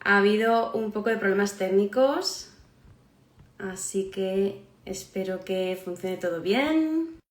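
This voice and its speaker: A woman's voice: mid-range voice, slow. Hesitant woman hosting a live stream. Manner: hesitant, many fillers and restarts. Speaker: psychologist hosting a live interview.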